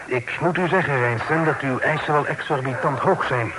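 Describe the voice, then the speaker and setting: An actor playing a formal, reasonable gentleman merchant in an old radio play, speaking in a measured way. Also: medium pitch, background noise, close to an everyday voice. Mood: firm, reasoning. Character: tired, formal gentleman merchant negotiating firmly but reasonably.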